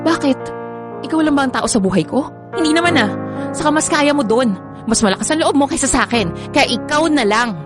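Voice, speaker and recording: A defiant young Filipino woman, pitched high. She is a fed-up girlfriend in a radio drama, with clear music underneath. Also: animated, fairly quick. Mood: reluctant.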